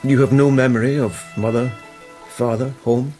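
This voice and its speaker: An actor playing a shrewd court investigator in a radio period drama, measured, wry and insinuating. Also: male voice, medium pitch, steady tone, dark tone, faint music behind, natural voice. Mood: probing.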